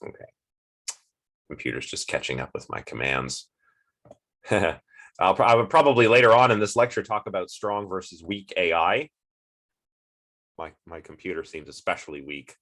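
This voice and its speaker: A man's voice: deep voice, lively intonation, moderate pace, pauses often, fairly bright tone. A man with a sniffly, stuffed-up voice giving a casual online philosophy lecture, chatty and rambling with many fillers and restarts.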